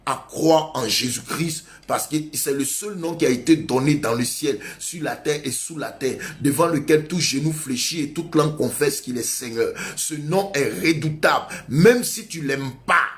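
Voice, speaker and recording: Male pastor preaching, kinda high voice, keeps hammering the same point over and over. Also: moderate pace, hardly any pauses. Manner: emphatic, repetitive exhortation. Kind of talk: sermon.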